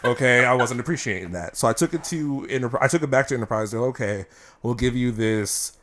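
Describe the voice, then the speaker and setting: A man's voice: mid-range voice, quick pace, fairly bright tone. A man co-hosting a podcast, telling stories in a casual, playful and profane way. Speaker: podcast co-host. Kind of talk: casual chat.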